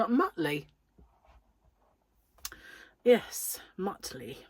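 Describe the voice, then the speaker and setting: A woman's voice: on the high side, huge pitch swings, extremely slow, fairly bright tone, breathy edge. A middle-aged woman with a British accent talks casually to her viewers, poking fun at herself and laughing often. Her voice swings up and down a lot, and a slight wheeze sits under her breathing. The sound is close and dry, with no room echo. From United Kingdom. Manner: chatty, self-deprecating, laughs often. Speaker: crochet channel host. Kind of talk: casual chat to camera.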